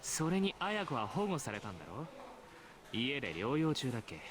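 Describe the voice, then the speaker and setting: A man's voice: medium pitch, sing-song, fast, noisy background, lightly acted. Man acting a swooping, smug, sneering schoolboy. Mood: smug mockery. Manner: mocking, flippant, theatrical. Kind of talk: Japanese visual novel voice acting.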